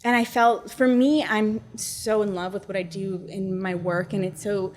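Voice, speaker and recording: A young adult woman, a neuroscientist and startup founder, talking calmly and reflectively as an interview guest. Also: medium pitch, normal speed, slight background noise. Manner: calm, soothing, reflective. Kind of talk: casual interview conversation.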